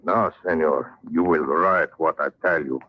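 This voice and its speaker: A menacing Spanish Don from a radio western, low-voiced and unhurried. He speaks with a Spanish accent and oily, mocking courtesy, on a muffled vintage recording. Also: slight background noise. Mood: commanding. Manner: slow, mock-polite, chuckling menace. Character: gloating Spanish villain, a menacing Don who relishes torture. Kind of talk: old-time radio western acting.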